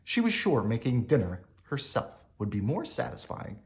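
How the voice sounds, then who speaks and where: A man reading a children's bedtime story aloud in an animated, emphatic way. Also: mid-range voice, moderate pace. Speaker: storybook reader.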